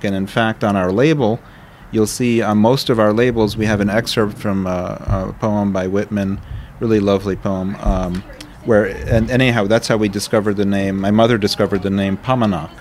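Smooth-voiced middle-aged man, low pitch. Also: steady tone, darkish tone, a little hiss or hum. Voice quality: smooth radio voice. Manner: measured storytelling with fillers. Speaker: guest winery owner. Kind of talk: podcast interview. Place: vineyard tasting area.

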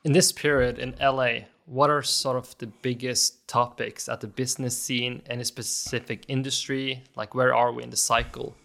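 A man's voice: mid-range voice, little pitch movement, normal speed, gravelly, hardly any pauses, sharp tone. A man hosting a podcast, asking direct, conversational interview questions. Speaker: podcast host.

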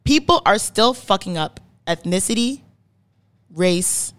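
A woman's voice: medium pitch, lively intonation, slow pace, fairly bright tone. She is a woman in her twenties from Canada with a mid-range voice. She talks like an opinionated podcast host explaining something to a friend. Her delivery is emphatic, leaning on repeated words and free with swearing. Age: 20s. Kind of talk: casual chat, opinionated explaining.

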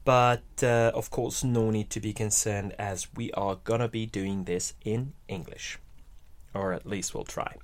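A man's voice: on the low side, fairly even intonation, measured pace. A young man from Hungary, an English speaker, hosting a casual podcast chat, hesitant with many restarts. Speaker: podcast host.

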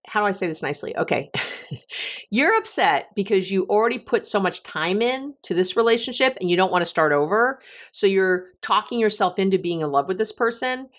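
A woman's voice: mid-range voice, expressive, normal speed. A middle-aged woman hosting a relationship advice podcast, talking casually on her own, joking and laughing at herself, with frequent restarts. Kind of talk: casual solo podcast talk.

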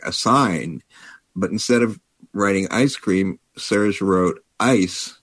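Male editor in conversation: deep voice, measured and slightly halting, recorded very dry. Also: a bit bright, no echo. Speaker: publisher and editor discussing a book. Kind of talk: conversational interview talk.

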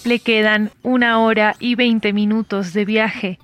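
A woman's voice: medium pitch, little pitch movement, a little slow, a bit bright, no room sound, faint music behind, close to an everyday voice. A woman voicing a polite, impersonal machine assistant that makes scripted announcements in an audio drama about time travel. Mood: neutral, formal.

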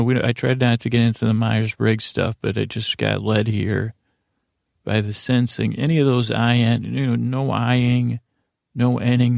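Male podcast monologist, very gravelly, close dry sound, improvised and meandering, keeps interrupting himself. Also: medium pitch, darkish tone, no echo. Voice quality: gravelly, creaky. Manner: meandering, halting, self-interrupting. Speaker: podcast host.

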